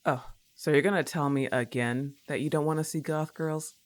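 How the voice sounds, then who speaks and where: A woman's voice: low voice, steady tone, moderate pace, close to an everyday voice. A woman playing a laid-back, teasing young goth roommate in an ASMR roleplay, with a casual, coaxing manner and a touch of annoyance. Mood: mildly annoyed, probing.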